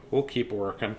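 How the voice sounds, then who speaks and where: A man's voice: mid-range voice, a little hiss or hum. A man hosting an informal children's read-aloud, playful and rambling, often breaking off to comment on the book. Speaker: children's story reader and host.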